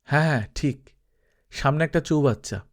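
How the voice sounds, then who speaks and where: A man's voice: a little high, moderate pace, close to an everyday voice. A man playing a nostalgic, slightly awkward middle-aged man in a storybook reading, polite and hesitant. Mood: nostalgic, inquiring.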